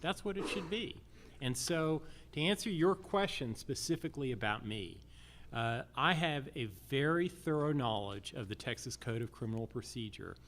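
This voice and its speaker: An American man with a mid-pitched voice answers as a candidate for judge at a public forum. He speaks deliberately and drives points home by repeating himself emphatically. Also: expressive, a bit bright. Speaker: judicial candidate.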